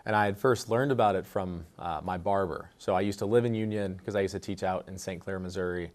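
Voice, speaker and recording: A man's voice: low-pitched, a little fast. A man, a teacher being interviewed, answering casually and rambling a bit with lots of fillers. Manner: relaxed, rambling with many fillers.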